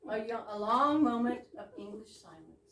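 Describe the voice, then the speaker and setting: A woman's voice: a little high, normal speed, fairly bright tone. A woman director presenting a play to an audience and reading its stage directions aloud. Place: indoor performance space with audience. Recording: echoey room from far off, faint noise.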